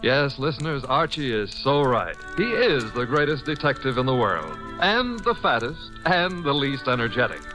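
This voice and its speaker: A man's voice: mid-range voice, very animated, moderate pace, hardly any pauses. A man narrating as a 1940s radio announcer in a theatrical, wry style. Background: music.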